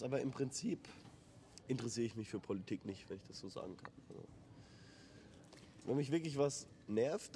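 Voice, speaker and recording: A relaxed man chatting about his band's songs in a loose interview. His voice sits at an ordinary middle pitch and often drops away to a mumble at the ends of his sentences. A faint hiss of noise runs under the recording. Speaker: band member, songwriter. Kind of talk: casual interview chat.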